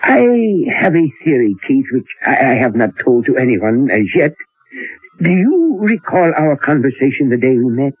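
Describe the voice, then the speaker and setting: Elderly professor, animated and husky. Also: male voice, medium pitch. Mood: grave, confiding. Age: older. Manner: deliberate, musing, theatrical delivery with hesitations. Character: elderly learned male professor, musing and secretive. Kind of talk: old-time radio drama acting. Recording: old radio recording, thin narrow band, roomy.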